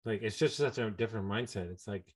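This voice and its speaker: A man's voice: fairly low pitch, fast talker. Emphatic male podcast host. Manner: energetic, emphatic, says "like" often. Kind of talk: casual interview chat.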